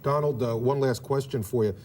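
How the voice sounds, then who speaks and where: An American man works as a live news interviewer with a dark, relaxed tone. He puts his questions haltingly and deliberately, often repeating words as he builds a sentence. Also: mid-range voice, slight background noise.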